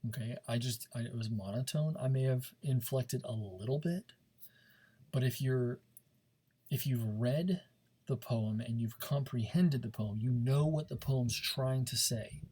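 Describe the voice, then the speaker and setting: A man with a slightly rough, husky voice at an average pitch speaks as a teacher to his class. He is informal and demonstrative, leaning on key words and repeating them for emphasis. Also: a little slow, warm tone. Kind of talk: informal recorded lesson, talking directly to students.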